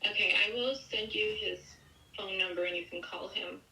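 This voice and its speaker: A woman with a very bright, piercing voice speaks casually on a phone call. She sounds distant, with a strong roomy echo from the speaker. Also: mid-range voice, fairly even intonation, moderate pace. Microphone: heard through a phone on speaker.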